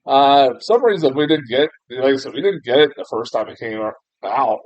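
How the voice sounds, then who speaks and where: A man's voice: mid-range voice, lively intonation, normal speed, no echo. A young American man giving a casual, rambling film review, full of fillers and restarts, laughing at himself and swearing mildly. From United States. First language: English. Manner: rambling, self-deprecating, frequent fillers and restarts, laughs often, mild swearing. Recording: dull, muffled lo-fi sound, dry.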